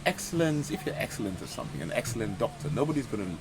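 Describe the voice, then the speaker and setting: A man's voice: mid-range voice, big pitch swings, racing. A man hosting a podcast interview, asking questions and affirming often. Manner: frequent backchannel affirmations. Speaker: podcast host. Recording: audible background noise.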